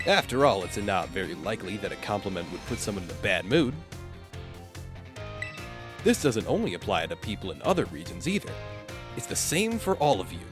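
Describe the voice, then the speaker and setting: A man playing a cheerful, theatrical language teacher who lectures and drops in foreign phrases, in a fan dub. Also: fairly low pitch. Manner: theatrical, lecturing, multilingual flourishes.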